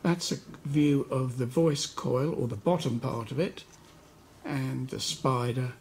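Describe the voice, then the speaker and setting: A man giving an unscripted hands-on demonstration, talking in an unhurried way and pausing mid-sentence as he works. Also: medium pitch, darkish tone, a little hiss or hum. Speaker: host of a hi-fi repair channel.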